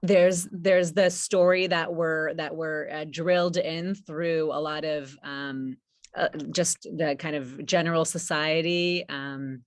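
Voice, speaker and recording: Lady w a deep-ish low voice hosting a panel, kinda halting, hunting for words, very dry sound. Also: steady tone, no echo. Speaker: project presenter and panel host. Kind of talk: informal event presentation.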